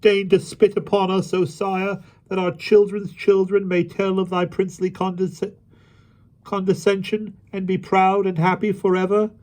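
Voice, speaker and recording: A man's voice: high-pitched, level tone, moderate pace, a little mellow, pitched above the performer's own voice, lightly acted. A man voicing rowdy, mocking vagabonds in a storybook, crying out with exaggerated mock reverence. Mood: mock pleading. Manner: shrieking, mock-reverent exclamations. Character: mocking rowdy vagabonds hailing a fake king.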